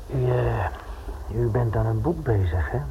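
Actor as a gruff, desperate small-time crook, muffled recording. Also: male voice, medium pitch, measured pace, close to an everyday voice. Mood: wary, confiding. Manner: gruff, streetwise, slangy, wary. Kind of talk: radio play acting. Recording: muffled, noisy old radio recording.

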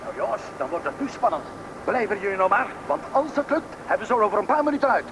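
A gruff but caring rescue foreman, commanding and fast-talking. His fairly high voice moves in a lively way, over a noisy radio drama recording. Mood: excited. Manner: brisk, commanding. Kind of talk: radio play acting. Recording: noisy old radio play, vehicle rumble.

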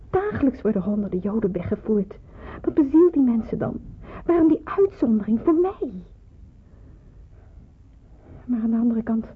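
An actress playing a despairing, brooding young nurse who is weary of hiding, in radio drama style. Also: female voice, on the high side. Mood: bewildered. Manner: brooding, hesitant. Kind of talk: radio drama acting with inner monologue. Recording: muffled phone-like old radio recording, clear hiss.

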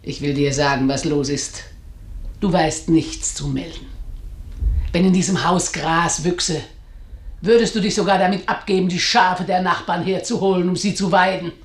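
A woman's voice: on the low side, steady tone, moderate pace, lightly acted. An actress playing a proud, domineering elderly mother and mistress of the house in a radio drama, speaking imperiously. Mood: scornful. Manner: imperious, commanding. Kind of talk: German radio drama acting. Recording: roomy, echoing radio studio sound.

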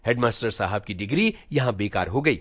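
A man's voice: lowish voice, animated, brisk, fairly bright tone, no room sound, studio quality. A man voicing a stern elder brother in a story reading, a schoolboy who lectures his junior with scolding, emphatic moralizing and rhetorical questions. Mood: earnest lecturing.

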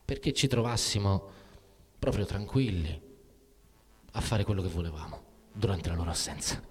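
An actor playing a frightened, cynical soldier who tells of war in a halting dramatic literary monologue, repeating words and breaking off mid-phrase. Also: male voice, low-pitched, expressive, slightly rough. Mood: subdued, brooding. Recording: music under the voice. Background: music.

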